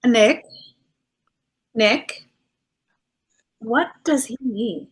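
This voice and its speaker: Woman acting detective's beautiful wife, highish voice, slow delivery, affectionate teasing, theatrical radio drama. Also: breathy edge, exaggerated voice. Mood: worried. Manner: playful, theatrical.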